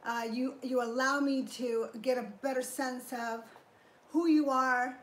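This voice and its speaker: Middle aged woman chatting on a gym livestream, kinda high voice, echoey garage. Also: fairly even intonation, measured pace. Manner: upbeat, thanks viewers warmly, explains step by step. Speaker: fitness livestream host. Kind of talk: casual livestream chat answering viewers. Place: garage home gym, indoors. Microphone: fixed camera at a few feet.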